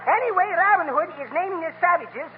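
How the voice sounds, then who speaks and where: A scratchy, narrow-band old radio comedy recording of a cheerful immigrant neighbor. His voice sits extremely high and swings up and down as he muddles words and grammar with happy confidence. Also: expressive, a little fast. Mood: cheerful rambling. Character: cheery Greek immigrant neighbor, jolly malaprop talker. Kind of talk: 1930s radio comedy acting. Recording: scratchy 1939 radio broadcast, tinny and noisy.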